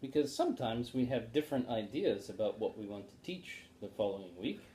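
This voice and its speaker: A man's voice: mid-range voice, expressive, brisk, warm tone, echoey room, slight background noise. A male teacher bantering casually with a colleague, answering in short, agreeable replies.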